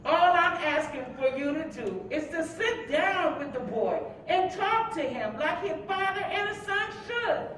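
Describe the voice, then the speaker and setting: A woman's voice: very high-pitched, expressive, a little slow, hardly any pauses, a bit bright, full character voice. An actress playing a worried, protective mother and preacher's wife in a staged reading, with pleading, folksy delivery. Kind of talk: staged play reading before a live audience. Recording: distant echoey hall recording, faint background noise.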